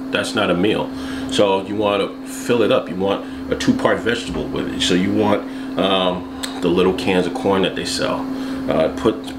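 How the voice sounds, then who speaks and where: Deep-voiced man vlogging at home. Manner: relaxed, easygoing, jokey asides. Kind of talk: casual chat to camera. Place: room at home, indoors. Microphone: external mic on top of the camera. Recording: echoey room with background noise.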